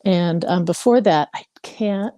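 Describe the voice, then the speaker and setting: A woman's voice: fairly low pitch, a little slow, dark tone, no echo. A woman in her fifties from Hawaii, candidly sharing her story in a casual group call, with many fillers. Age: 50s. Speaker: participant.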